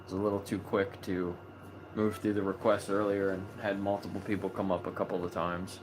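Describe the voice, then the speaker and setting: A man with a fairly low voice hosts a live online chat. He talks in a loose, relaxed way, full of ums and small restarts. A steady noise sits under the recording. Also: a little fast. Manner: relaxed, chatty, many fillers. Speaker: host of a live video chat about animal rights. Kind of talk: casual livestream chat.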